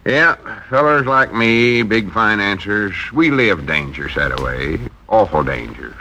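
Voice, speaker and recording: An actor playing a boastful, proud small-town would-be tycoon with a rural Southern American accent and a pompous manner in a 1940s radio comedy. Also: male voice, lowish voice, expressive, pushed and bright, heavily acted. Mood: pompous bragging. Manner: pompous, slow, self-important. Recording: noisy old 1940s radio recording.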